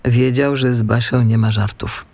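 A man narrating a scripted children's audiobook with expressive storytelling. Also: medium pitch, normal speed, dry recording.

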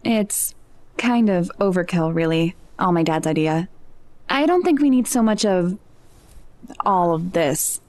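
A woman's voice: fairly low pitch, expressive, unhurried, a little mellow. A woman playing an anxious, lonely seventeen-year-old girl in a naturalistic audio drama, speaking hesitantly and self-deprecatingly. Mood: guarded, frustrated.

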